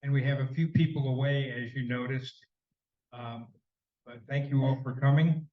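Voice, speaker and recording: A man co-hosting a neighborhood homeowners' meeting in a senior center hall, talking haltingly with many fillers and a slight cold. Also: mid-range voice, fairly even intonation, lo-fi sound. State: sneezing, slightly congested. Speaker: meeting co-host.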